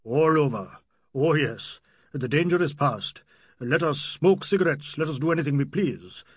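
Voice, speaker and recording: A man voicing a pompous, excitable old Indian nobleman in an audiobook. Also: fairly high pitch, moderate pace, slightly rough, no room sound, clean studio sound. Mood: agitated. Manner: pompous, exclamatory.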